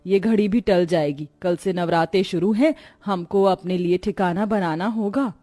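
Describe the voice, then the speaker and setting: A woman voicing a caring, devout young daughter-in-law in a cartoon story, soft-hearted and earnest. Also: on the low side, moderate pace, close to an everyday voice. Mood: consoling.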